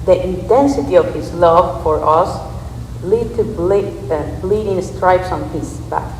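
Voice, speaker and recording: A woman's voice: lowish voice, a little slow, a little mellow. A woman earnestly reciting a script as a church readers theater performer. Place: large reverberant church space. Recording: echoey hall, distant mic, background noise.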